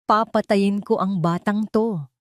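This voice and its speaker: A woman's voice: mid-range voice, fairly even intonation, unhurried, dry recording, studio quality, pitched above the performer's own voice, lightly acted. A woman voicing a ruthless, frantic villainess in an audio drama, commanding and taunting. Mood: frantic, threatening. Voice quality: trembling, shouted delivery. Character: ruthless armed villainess, hateful, frantic, power-hungry.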